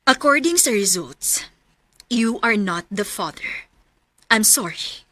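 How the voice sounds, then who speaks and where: A grave doctor in a radio drama. She speaks very slowly in a mid-range voice, with loud music underneath. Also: mid-range voice, expressive. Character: grave female doctor delivering results. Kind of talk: Filipino radio drama acting.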